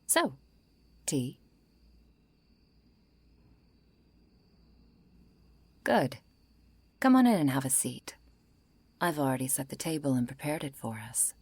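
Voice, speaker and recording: A woman acting a century-old female vampire in an ASMR roleplay, composed, politely menacing and wry, her soft breathy voice often falling into a whisper. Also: sits low, big pitch swings, moderate pace, no room sound, exaggerated voice. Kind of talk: ASMR roleplay, intimate scripted monologue.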